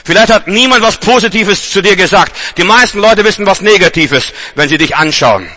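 A man's voice: sits high, animated, a little fast, pushed and bright. A man from Germany preaching a charismatic sermon with emphatic, repeated refrains.

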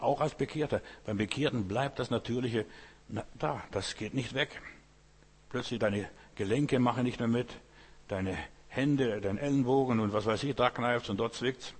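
A man's voice: medium pitch, slightly rough, long reverb tail. A man from Lithuania, whose first language is Lithuanian, preaching an informal sermon in a chatty way and often asking his listeners whether they follow. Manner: conversational, keeps asking "verstehst du?".

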